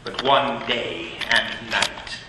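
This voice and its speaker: Solemn ghost: slow, bright, reverberant. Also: male voice, medium pitch, background noise. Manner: solemn, measured. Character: solemn ghostly spirit guiding a miser. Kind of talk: 1940s radio acting. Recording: echoey old radio broadcast with long reverb.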